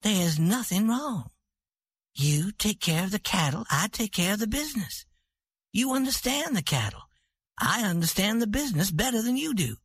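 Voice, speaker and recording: Storybook: a man doing a high, husky, commanding ranch woman who settles every argument. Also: big pitch swings, moderate pace, a bit bright, no echo, heavily acted. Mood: firm. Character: proud, commanding ranch-owning woman, cattle queen.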